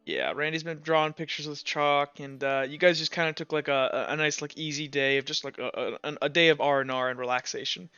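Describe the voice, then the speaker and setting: Male game master, higher-pitched and clear, halting casual narration, slightly muffled audio with music underneath. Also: fairly even intonation, pushed and bright, no room sound. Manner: informal, halting and conversational.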